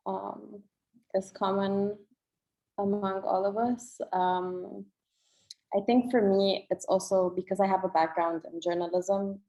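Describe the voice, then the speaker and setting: A woman's voice: mid-range voice, creaky, darkish tone. A woman speaking casually as a poet on a video-call panel, reflective and a little hesitant. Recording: slightly dull video call audio.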